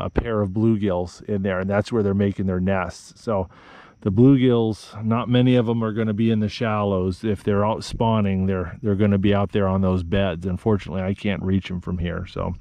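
Man, 30s, outdoor vlog host; low-ish voice, relaxed how-to delivery, recorded outdoors on a small camera mic. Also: no echo. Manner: relaxed, explanatory, practical how-to talk. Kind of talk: casual outdoor vlog narration. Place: outdoors in a forest. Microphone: action camera, worn on the body or close up.

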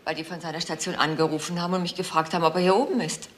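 An actress playing a blunt, brisk hospital worker in a radio crime drama, speaking in a hurried way. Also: female voice, lowish voice, steady tone, natural voice. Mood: urgent. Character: brisk, blunt female hospital colleague informing on someone. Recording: faint background noise.

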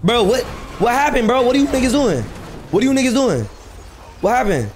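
A man's voice: very high-pitched, sing-song, fairly quick. A man streaming street-crime game roleplay, talking in confrontational slang and insistently repeating himself over some noise.

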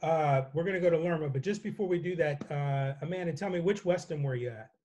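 An older man moderating a panel discussion over a video call from a home office, speaking in a relaxed, measured way with frequent fillers. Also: a little high. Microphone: webcam on a video call.

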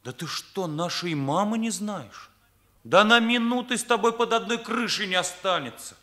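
An actor playing an earnest, indignant teenage son with an emphatic manner in a Soviet radio play. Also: male voice, high-pitched, moderate pace, pushed and bright. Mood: bitter, accusing. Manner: accusing, emphatic, morally rigid.

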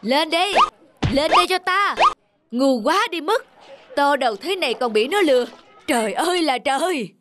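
Blustering, menacing tiger. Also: male voice, extremely high pitch, lively intonation, normal speed, over loud music. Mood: furious. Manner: blustering, suspicious. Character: menacing, gullible big male tiger, easily enraged. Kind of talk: cartoon voice acting.

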